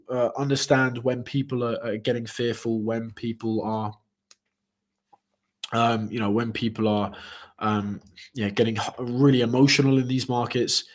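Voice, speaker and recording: A man's voice: lowish voice, level tone, normal speed. Rambling man hosting a trading stream. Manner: rambling, many fillers and repeated restarts; speaks to chat viewers directly. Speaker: crypto trading livestream host. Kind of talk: casual live stream commentary.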